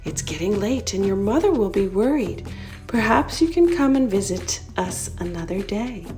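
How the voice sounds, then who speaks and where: A woman's voice: mid-range voice, lively intonation, normal speed, dark tone, soft background music, natural voice. A woman voicing a jolly, fatherly little fairy king in a storybook, with a genial manner. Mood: gently firm.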